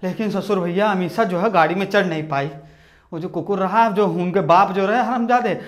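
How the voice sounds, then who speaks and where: A young man doing stand-up, retelling a movie in a rustic storyteller's manner. He shouts at the characters and acts them all out, recorded on a lapel mic in a small room. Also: high-pitched, moderate pace. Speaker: stand-up comedian. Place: small indoor room with posters. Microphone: lapel mic, camera at mid distance.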